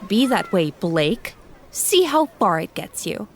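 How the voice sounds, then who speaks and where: A woman's voice: medium pitch, big pitch swings, slow. An actress playing a haughty, critical young woman with a pointed, dismissive delivery in a fan audio drama. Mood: critical, curt.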